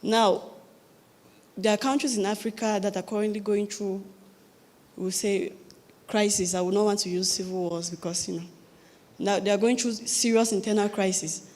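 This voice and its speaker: A woman's voice: medium pitch, steady tone, moderate pace, long pauses, a little mellow. A young woman with a Cameroonian accent, a student putting a formal question from the audience. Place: large conference hall, public address. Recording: reverberant conference hall.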